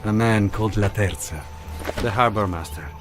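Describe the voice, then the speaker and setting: An actor playing a bitter, sly wartime partisan informant in a video game cutscene. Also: male voice, fairly low pitch, normal speed, over music, natural voice. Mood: contemptuous.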